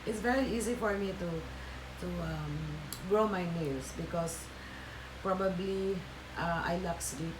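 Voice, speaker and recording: A woman's voice: lowish voice, unhurried, reverberant, background noise. A Filipina woman with a Filipino accent, a Tagalog speaker, hosting a casual livestream and chatting with viewers with many fillers and restarts. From Philippines. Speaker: livestream host.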